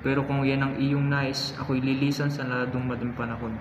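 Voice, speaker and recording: A man playing an earnest, courteous young Filipino doctor in a scripted amateur radio drama, reciting in a measured, formal way. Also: medium pitch, little pitch movement, background noise, close to an everyday voice. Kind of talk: scripted amateur radio drama, first-person narration and dialogue. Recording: roomy echo under loud music.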